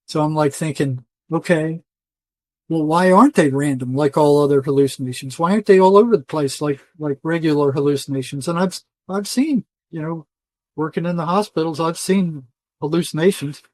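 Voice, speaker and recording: Older male interviewee, slightly high voice, dry close sound, folksy and emphatic storyteller. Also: darkish tone, no room sound. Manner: emphatic, colloquial, often quoting imagined dialogue. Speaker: interview guest, retired psychotherapist.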